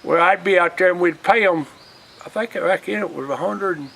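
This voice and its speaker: An older Southern man from Alabama with a high, bright, forceful voice reminisces casually. He rambles in a folksy way and often quotes old conversations. There is a little background noise on the recording. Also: moderate pace, creaky, poor audio. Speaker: storyteller, retired union organizer.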